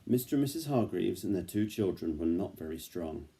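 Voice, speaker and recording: A man reading a picture book aloud to a child, gently and engagingly, pausing to ask questions. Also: low voice, expressive.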